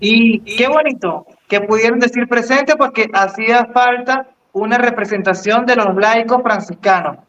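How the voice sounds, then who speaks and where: A man's voice: very high-pitched, normal speed, hardly any pauses, fairly bright tone, lo-fi sound. A man co-hosting a live event in a casual, conversational way. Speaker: co-host.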